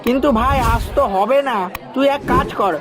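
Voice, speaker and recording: A woman's voice: high voice, a little fast, close to an everyday voice. A woman voicing a casual market fish seller in a cartoon, speaking in an offhand, familiar way. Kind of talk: cartoon voice acting. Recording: loud crowd noise over the voice.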